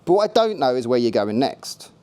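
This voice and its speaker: A man putting on a brisk, weary woman angel clerk. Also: medium pitch, very animated, a little mellow, clean tone, close to an everyday voice.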